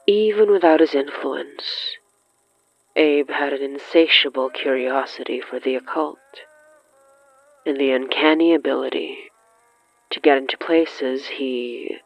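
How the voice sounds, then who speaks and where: A narrator reading scripted dramatic horror fiction in a measured, deliberate way with dramatic pauses. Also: mid-range voice, no room sound, lo-fi sound. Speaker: narrator of an audio fiction podcast. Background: faint music.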